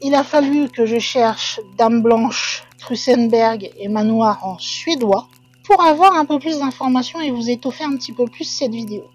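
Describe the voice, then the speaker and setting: A woman's voice: on the high side, moderate pace, lo-fi sound. A woman hosting a paranormal channel, telling a ghost legend in a chatty way with hedging asides to her viewers. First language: French. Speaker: channel host. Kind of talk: casual storytelling narration.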